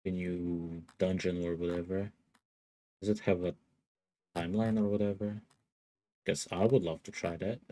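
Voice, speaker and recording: A man's voice: low voice, fairly even intonation, moderate pace, long pauses, mellow. A man streaming a game, thinking aloud in a rambling, casual way and laughing often. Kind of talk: casual gaming livestream chatter.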